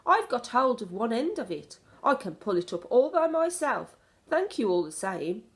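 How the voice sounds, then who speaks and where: A woman's voice: a little high, big pitch swings, normal speed, pitched above the performer's own voice. A woman reading a children's storybook, voicing a loving, cool-headed old grandmother with a chatty, affectionate manner. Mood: breezy, composed.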